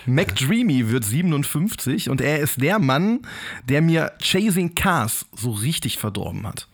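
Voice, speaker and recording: A man with a fairly high voice co-hosts a casual podcast. His intonation rises and falls a lot as he reads out trivia, teasing his partner along the way. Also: sing-song, unhurried. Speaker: podcast co-host. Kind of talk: casual chat.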